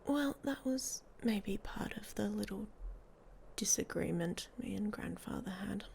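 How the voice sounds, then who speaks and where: A woman playing a hot-tempered, protective she-wolf in an intimate ASMR roleplay, with a husky, breathy voice and a blunt, sardonic manner. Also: medium pitch, a little mellow. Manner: sardonic, blunt, speaking straight to the listener.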